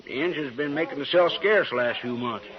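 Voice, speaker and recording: An actor playing a tipsy, tactless frontier gossip with a rural Western American accent in an old-time radio western. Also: male voice, medium pitch, normal speed, natural voice. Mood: blunt gossip. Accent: rural American West. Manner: folksy, blunt, rambling. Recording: muffled old radio recording, crowd behind.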